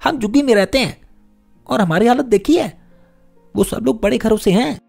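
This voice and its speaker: A man's voice: very high-pitched, normal speed, gravelly, darkish tone, faint music behind, voice pushed up, heavily acted. A man voicing a wary, hungry little boy from a poor home in a story, hesitant and grumbling. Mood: annoyed.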